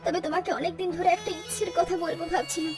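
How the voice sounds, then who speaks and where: Cartoon wife, sickly and worried, woman performer high pitch, brisk delivery. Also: flat delivery, over music. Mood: plaintive. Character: ailing, fretful young village wife. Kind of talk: Bengali cartoon story dialogue.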